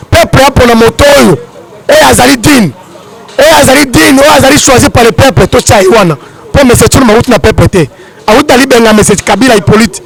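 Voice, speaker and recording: Congolese male political commentator, strikingly high pitch with crackly fry, emphatic and repetitive delivery. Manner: emphatic, repeats points.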